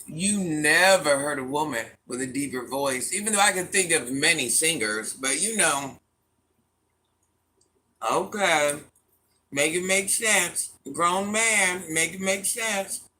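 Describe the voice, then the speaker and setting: A deep-voiced middle-aged woman hosting a casual livestream, reading viewer comments aloud and talking back to them bluntly and with sass. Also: expressive, takes it slowly, sharp tone. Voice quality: deep, smoky voice. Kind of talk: casual live chat.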